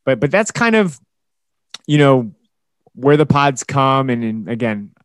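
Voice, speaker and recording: A young man hosting his own podcast, talking casually alone, a little hoarse and tired, rambling with fillers and restarts. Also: medium pitch, sing-song, a little slow, no room sound. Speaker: podcast host. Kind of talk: casual solo podcast talk.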